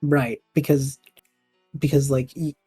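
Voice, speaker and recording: A man's voice: medium pitch, level tone, a little slow, dark tone, slightly rough, no echo, clean studio sound, faint music behind. A man hosting a casual interview, talking in an enthusiastic and thoughtful manner. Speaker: host and audio fiction creator.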